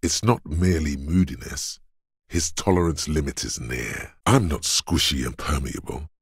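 Very deep, husky inner body voice. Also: male voice, normal speed, dry recording, studio quality, full character voice. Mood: dark, intense. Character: Endurance, brooding inner voice of a weary detective's body. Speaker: voice actor. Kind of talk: scripted game narration in second person.

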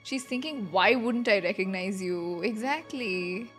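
A woman's voice: medium pitch, a little slow. A woman in her twenties giving casual, playful livestream reactions to a game and chatting to viewers. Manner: playful, reactive asides to chat. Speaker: game streamer. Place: small indoor streaming room. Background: game music.

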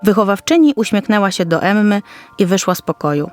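A woman's voice: medium pitch, animated, a little fast, soft background music. A woman narrator reading a scripted children's story.

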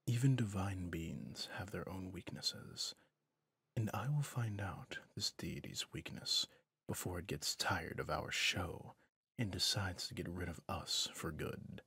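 A man acts out a weary male villain in an intimate ASMR audio drama. His voice is very breathy and fairly low, often close to a whisper. He speaks slowly and pensively, gentle toward his rival. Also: dry recording, exaggerated voice. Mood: weary, resolute. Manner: slow, soft, pensive, with deliberate pauses.

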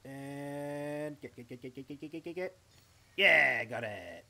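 A man's voice: a little high, expressive, a little slow, creaky, sharp tone, slight background noise. A young Australian man chatting playfully to himself while streaming a game live from home. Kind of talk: live gameplay commentary.

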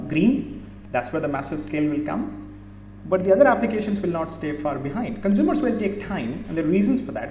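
Middle-aged man, keynote speaker, slightly high voice, reverberant auditorium, faint hum. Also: darkish tone. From India. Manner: addresses audience directly, asks questions, corrects himself. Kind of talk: conference keynote talk. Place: large auditorium stage. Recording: echoey hall, faint hum.

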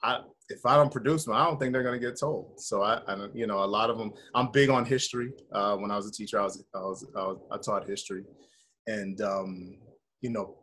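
A middle-aged American man with a husky voice, talking informally as an interview guest on a video call. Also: fairly low pitch, steady tone, brisk, fairly bright tone. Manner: informal, conversational, peppers talk with "man" and "you know". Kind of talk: casual interview chat. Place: indoor room with bare wall, video call.

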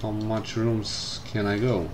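A man commenting casually on a game as he plays, reading the game text aloud and thinking out loud. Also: fairly low pitch, level tone, slow, noisy background. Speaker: gameplay streamer. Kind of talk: casual let's-play commentary. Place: indoors at a computer. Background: game music.

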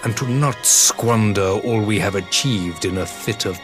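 A man playing a stern, proud emperor in a game cutscene, speaking in haughty, measured, scornful oratory. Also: on the low side, over music, close to an everyday voice. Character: stern, imperious armored emperor, proud and contemptuous. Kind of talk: formal dramatic game cutscene acting.

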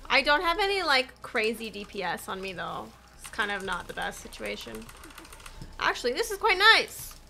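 High, bright-voiced woman gamer. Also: normal speed, creaky, slight background noise. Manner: animated, exclamatory, slangy. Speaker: gaming livestreamer. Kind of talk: casual gameplay commentary. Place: small indoor room at home.

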